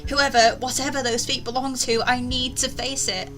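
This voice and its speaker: A woman's voice: high voice, level tone, normal speed, a bit bright. A woman hosting a gaming video, reading a story aloud theatrically with casual commentary. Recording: faint music behind.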